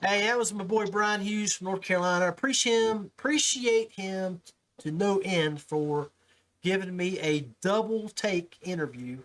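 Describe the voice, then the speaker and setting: A man with an American accent hosts a casual podcast. His voice sits a little high for a man. He speaks in a folksy, informal way, like someone chatting with buddies. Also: normal speed, fairly bright tone, low-quality recording. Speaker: podcast host. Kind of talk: casual podcast talk and interview.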